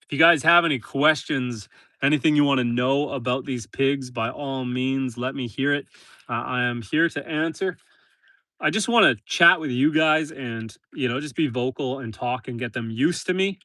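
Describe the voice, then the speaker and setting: A relaxed homesteader man, stuffy from a swollen nose, chats easily with viewers in an unhurried, conversational way. His voice sits at an ordinary pitch and sounds close and dry, with no room echo. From northern Canada. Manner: relaxed, chatty, talks to animals and viewers. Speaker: homesteader livestreaming. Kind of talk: casual live stream chat. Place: outdoors in a forest pen.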